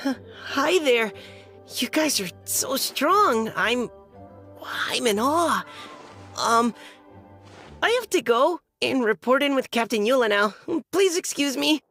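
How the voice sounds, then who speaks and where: A woman voicing a shy, earnest young boy soldier in an anime-style game, soft and boyish, with a hesitant, polite manner. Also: sits high, animated, takes it slowly, soft background music, full character voice. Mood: flustered.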